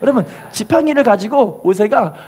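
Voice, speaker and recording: A male pastor delivers a fervent sermon in a high, husky, strained voice. His intonation swings widely as he exhorts his listeners. He often puts rhetorical questions to them and calls for responses. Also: animated, moderate pace, darkish tone. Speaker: pastor preaching a Sunday sermon. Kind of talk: fervent Korean church sermon with call-and-response.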